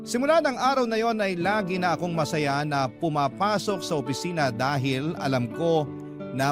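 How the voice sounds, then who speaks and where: A man's voice: a little high, close to an everyday voice. An actor playing a smitten office worker, narrating his love story earnestly and sentimentally in a Tagalog radio drama. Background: acoustic guitar music.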